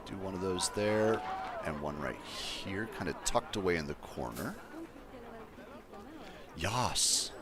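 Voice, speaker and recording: A man with a deep voice casually chatting to his viewers as he builds in a game livestream, thinking aloud in an easygoing way. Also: animated, slow, pauses often, a crowd in the background. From Colorado, USA. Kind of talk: casual gameplay livestream chat.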